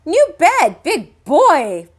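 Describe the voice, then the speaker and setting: A woman's voice: extremely high pitch, wildly swooping pitch, very slow, voice pushed up, exaggerated voice. A woman voicing a boisterous, proud three-year-old boy who hollers in short chanted words, in a storybook.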